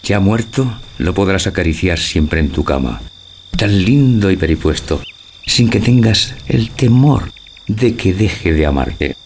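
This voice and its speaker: A man's voice: low-pitched, a little hiss or hum. A man playing a lovelorn, brooding old husband in a theatrical radio tragicomedy, with grave, poetic declamation. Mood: coldly tender. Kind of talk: theatrical Spanish radio drama acting.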